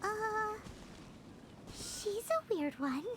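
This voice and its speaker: An actress voicing a tiny, excitable floating companion girl in a game, chirpy and blunt. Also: very high-pitched, noisy background, exaggerated voice. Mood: bewildered. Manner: chirpy, blunt, quick to judge. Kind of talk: game character dialogue.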